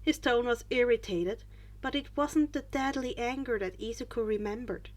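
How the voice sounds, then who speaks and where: A woman's voice: fairly high pitch, moderate pace. A woman reading a scripted story aloud as an audiobook-style narrator. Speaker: fan fiction reader and narrator.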